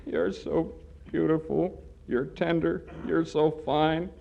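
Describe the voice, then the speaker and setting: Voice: medium pitch, steady tone, slow, gravelly, highs cut off. A performer playing a timid, shy young man who stammers bashfully, in 1940s radio comedy. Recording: hissy 1940s radio recording.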